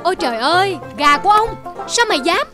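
A woman's voice: very high voice, very animated, brisk. A woman voicing a greedy, stingy old male rice merchant in a cartoon, gloating and ranting shrilly. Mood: outraged. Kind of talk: cartoon voice acting. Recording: loud cartoon music underneath.

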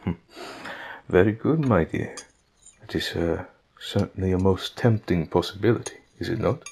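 A man playing a patient, gentle older king in an audio drama, with deliberate, formal diction. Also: low-pitched, gravelly, slightly rough, heavily acted. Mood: fond, thoughtful.

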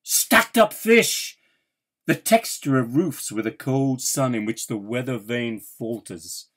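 A man, an actor, reciting a poem dramatically in a measured, theatrical way with emphatic pauses. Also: mid-range voice, animated, fairly bright tone.

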